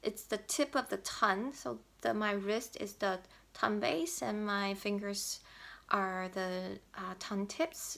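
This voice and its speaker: A young woman from Taiwan, whose first language is Mandarin, talks through a language tip in English. Her voice is bright and crisp, and her pace is slow and unhurried. She hesitates often, filling pauses with "um" and restarting her sentences. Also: medium pitch. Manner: hesitant, many "um" fillers and restarts. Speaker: language learner vlogger. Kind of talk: explaining a pronunciation tip to camera.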